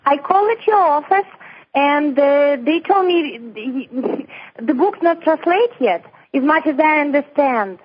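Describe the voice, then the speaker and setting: A high-voiced woman with a Russian accent phones in, her English halting and her voice choked with emotion. She laughs nervously between words, and her Russian-born speech comes through a muffled, dull phone line on air. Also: expressive. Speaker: radio call-in listener. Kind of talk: phone call to a radio show.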